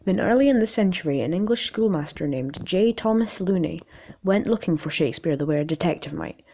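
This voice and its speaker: A woman with a raspy voice in an amateur, casual video, rambling and reading aloud in a jokey, self-deprecating way with stumbles. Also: lowish voice, lively intonation, brisk, warm tone, a little hiss or hum. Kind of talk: casual rambling vlog with articles read aloud.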